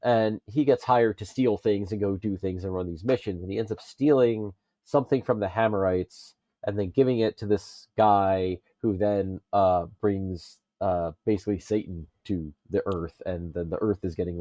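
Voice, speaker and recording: A man on a casual pop culture podcast, talking in a halting way as he thinks aloud. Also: deep voice, steady tone, warm tone, no room sound. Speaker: podcast panelist.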